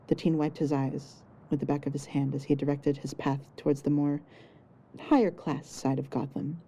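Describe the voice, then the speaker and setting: A woman's voice: sits low, little pitch movement, normal speed, dark tone, a little hiss or hum, low-quality recording. A woman reading scripted fiction narration as the storyteller of an audio story.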